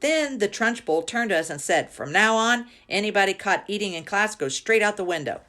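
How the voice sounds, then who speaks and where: A woman's voice: medium pitch, expressive, brisk, bright, forceful tone, pitched above the performer's own voice. A woman voicing a feisty older schoolgirl in a storybook, telling tales in an emphatic, boastful, battle-worn way. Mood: gleeful, dramatic.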